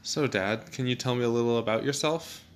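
A man's voice: mid-range voice, fairly quick, slight background noise. A man interviewing someone with simple prepared questions.

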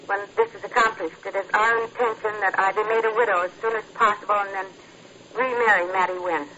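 A woman's voice: mid-range voice, fairly even intonation, a little fast, pushed and bright, natural voice. A woman acting a cool, impatient, scheming wife in an old radio drama. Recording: noisy, muffled old radio recording.

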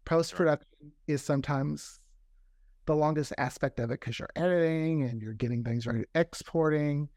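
Talkative man, slightly high voice, dry sound. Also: moderate pace, a little mellow, no echo. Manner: talkative, repeats words for emphasis. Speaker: interview guest, fiction podcast creator. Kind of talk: casual interview chat.

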